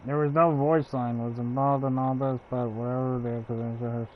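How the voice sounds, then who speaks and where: A man streaming a video game, giving relaxed, offhand commentary. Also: medium pitch, a little fast, narrow, tinny audio, a little hiss or hum. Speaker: let's play streamer. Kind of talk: casual gameplay commentary.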